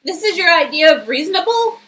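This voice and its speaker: A woman's voice: very high-pitched, very animated, fairly quick, a bit bright, heavily acted. A woman voicing an anxious, hesitant young female ally, flustered but brave, in a dramatic game reading. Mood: alarmed.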